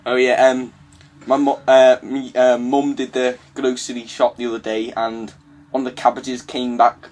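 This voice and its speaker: A young adult British man making a casual prank call in a halting, hesitant manner. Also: medium pitch, little pitch movement, darkish tone. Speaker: prank caller.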